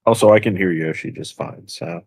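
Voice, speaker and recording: A man's voice: sits low, a little fast, darkish tone, breathy edge, no room sound. A man co-commentating a casual live stream over voice chat, wry and sardonic.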